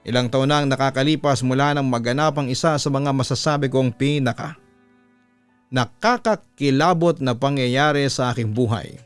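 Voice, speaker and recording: A Filipino man, a radio announcer, narrating a listener's horror letter in a deliberate, dramatic storytelling style. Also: mid-range voice, clean tone, dry recording. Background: music bed.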